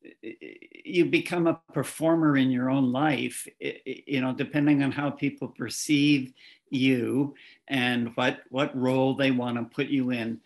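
An older man with a gravelly, creaky voice asks his questions in a halting way, circling back and restarting. He speaks into a headset from a home study. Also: medium pitch, fairly even intonation. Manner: halting, stammering restarts while forming questions. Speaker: interviewer. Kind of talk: interview questions.

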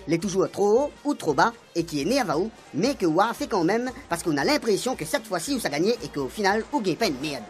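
A woman tells a comic radio serial with a lot of movement in her voice. She speaks in a lowish register and moves quickly through the story. It is a lively, storytelling delivery. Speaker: narrator.

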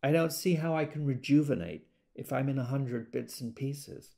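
Actor as earnest man, gravelly edge. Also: mid-range voice, normal speed, warm tone, close to an everyday voice. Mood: hesitant, calm. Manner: hesitant, earnest. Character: earnest, gentle man devoted to a self-help group. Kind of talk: dramatic monologue in an audio drama.

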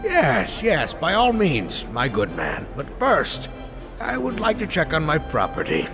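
A man voicing an old, bumbling male dwarf cleric with a pompous, bluffing manner and a gravelly voice. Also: on the high side, wildly swooping pitch, unhurried, music in the background, full character voice.

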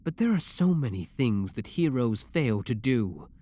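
A man playing an obsessive, menacing young villain with cold devotion, speaking deliberately and theatrically in a scripted ASMR roleplay. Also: mid-range voice, very animated, dark tone. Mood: brooding resolve.

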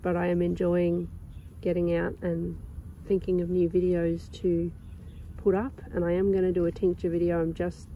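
A young woman with a croaky sore throat, chatting casually and rambling with lots of ums while filming her garden outdoors on a phone. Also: on the low side, completely flat delivery, normal speed, highs cut off, slight background noise. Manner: rambling, chatty, with many ums and soft laughs. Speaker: gardening vlogger. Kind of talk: casual chat.

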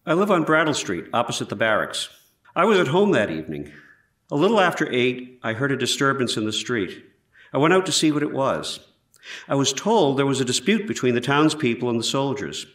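A man playing an 18th-century surgeon who gives measured, precise testimony in a scripted trial re-enactment. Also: mid-range voice, big pitch swings, fairly bright tone. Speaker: actor in a historical trial re-enactment. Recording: roomy echo, distant from mic.

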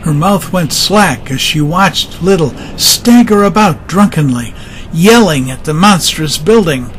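A man's voice: on the high side, expressive, a little slow, fairly bright tone, a little hiss or hum, rough recording. A man narrating a dramatized audiobook in a dramatic scripted style, with music underneath.

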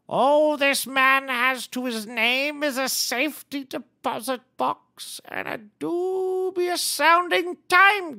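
An actress playing a composed, solemn cult leader in a comedy audio drama, measured, formal and dry. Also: female voice, fairly high pitch, bright, forceful tone, exaggerated voice.

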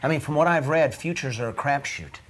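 A man acting an earnest, doubtful auditor in an office drama. His husky voice has a medium pitch, and he speaks with tactful hesitation. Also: brisk, close to an everyday voice. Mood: puzzled. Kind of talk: acted corporate training-film drama. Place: indoor office.

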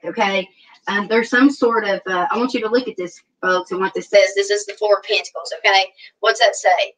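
A woman's voice: mid-range voice, a bit bright, distant mic, rough recording. A middle-aged woman with a Southern US accent, rambling and hesitant as she reads tarot cards on a video call from her home. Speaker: tarot reader guest. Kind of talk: casual tarot reading on a livestream. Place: home room indoors. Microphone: video call webcam.

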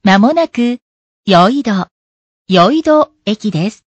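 A woman's voice: medium pitch, expressive, very slow, no echo. A woman reading a brief scripted announcement of the approaching station. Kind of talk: scripted Japanese transit announcement. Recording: dull, lo-fi announcement audio.

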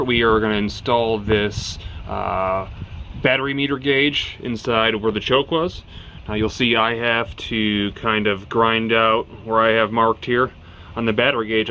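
A man giving a casual how-to narration of his DIY work, plain-spoken and relaxed, with plenty of "uh" fillers. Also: mid-range voice, a little hiss or hum. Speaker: DIY mechanic vlogger.

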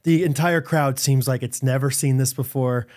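A man's voice: mid-range voice, steady tone, normal speed, a little mellow. A man in his thirties co-hosting a podcast, telling rambling stories with frequent restarts, recorded on a studio mic. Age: 30s. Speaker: podcast co-host. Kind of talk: casual chat. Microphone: studio mic on boom arm, headphones.

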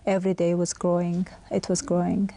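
A woman in her thirties with an Iranian accent, speaking as an interview guest in a TV studio with many halting pauses. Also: fairly low pitch, completely flat delivery, creaky, dark tone, dry recording. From Iran. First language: Persian. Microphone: lapel mic.

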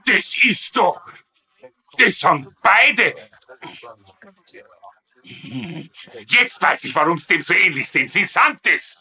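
An actor playing a flustered, blustering army prison guard with a Bavarian-Austrian accent, excitable and folksy in a comedy dub. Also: male voice, sits high, drawn-out words, long pauses, exaggerated voice. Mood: indignant. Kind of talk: German comedy dub acting.

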